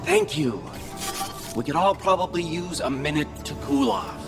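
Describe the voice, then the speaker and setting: An actress playing a level-headed, exasperated peacemaker between quarrelling allies in a video game. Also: female voice, on the low side, very animated, normal speed. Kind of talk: video game dialogue acting. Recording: loud music behind voice.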